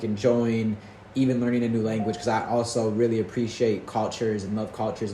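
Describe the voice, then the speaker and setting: A man's voice: mid-range voice, darkish tone. Earnest rambling man in an echoey room. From Detroit, United States. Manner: rambling, earnest, many restarts and "you know". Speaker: podcast host. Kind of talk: casual faith-filled conversation. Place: roomy indoor space, recorded in Portugal. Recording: echoey room with faint background noise.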